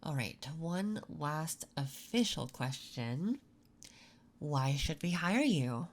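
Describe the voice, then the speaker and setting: A soft-spoken woman performing an intimate ASMR roleplay as an interviewer, talking gently and a little flirtatiously with pauses between phrases. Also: sits low, sing-song. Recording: clear background noise. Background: computer keyboard typing and clicks.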